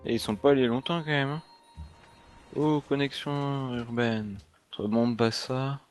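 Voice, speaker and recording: A man's voice: medium pitch, steady tone, unhurried, soft background music. A man from France playing a multiplayer game with a friend, chatting and joking playfully. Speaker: co-player. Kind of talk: casual gaming chat.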